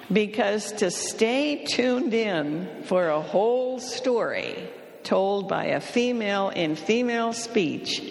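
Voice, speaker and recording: Slow, wry woman in a reverberant hall. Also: medium pitch, vocal fry. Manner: dry, wry and matter-of-fact, teasing the men. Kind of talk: advice talk to an audience.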